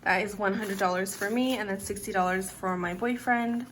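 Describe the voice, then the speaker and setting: A young woman in her twenties vlogging casually to camera, her voice a little raspy from staying up late, talking in a relaxed, chatty way with plenty of "like" and "literally". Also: medium pitch, moderate pace, slight background noise. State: slightly raspy from lack of sleep. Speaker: budgeting vlogger.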